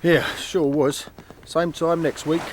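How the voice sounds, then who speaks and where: An actor playing a sly, matey neighbour in a comedy radio play. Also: male voice, fairly high pitch, flat delivery, takes it slowly. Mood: relaxed. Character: matey, sly cockney neighbour and secret lover. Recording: long echoing reverb, faint noise.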